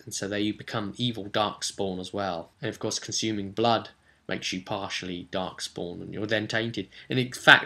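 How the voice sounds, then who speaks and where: A man's voice: on the low side, gravelly, sharp tone. A man giving a casual, unscripted game master's recap, telling it in a rambling, enthusiastic way and often correcting himself.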